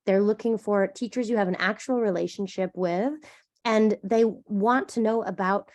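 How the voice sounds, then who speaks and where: A woman presenting on college admissions in a webinar, a little stuffy from a cold, chatty and animated. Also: medium pitch, normal speed. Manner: chatty, animated, thinks aloud with fillers.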